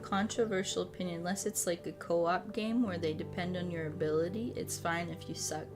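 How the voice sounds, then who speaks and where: A woman's voice: lowish voice, steady tone, fairly quick. A woman chatting casually to viewers on a gaming stream, rambling, repeating herself and swearing freely. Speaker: live streamer. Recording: background music under the voice. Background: music.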